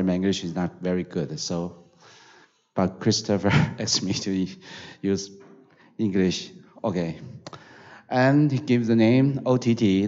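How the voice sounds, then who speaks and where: Taiwanese guy, really deep voice, slow and apologetic, muffled audio. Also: darkish tone. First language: Mandarin. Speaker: guest speaker. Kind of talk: presentation talk.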